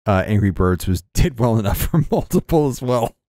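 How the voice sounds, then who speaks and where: A man in a casual podcast chat about movies, relaxed and wry, with chuckling asides. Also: medium pitch, moderate pace, dry recording, studio quality.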